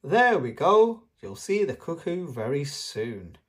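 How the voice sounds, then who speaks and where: Reader doing a patient daddy pig from a kids book, easygoing but super up and down pitch. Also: very animated, takes it slowly, slightly rough, exaggerated voice. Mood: obliging. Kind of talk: children's storybook read-aloud.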